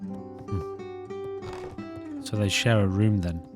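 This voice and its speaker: A man's voice: lowish voice, steady tone, extremely slow. A man giving casual let's-play commentary, chatty and musing aloud to himself. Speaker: gaming video host. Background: guitar music.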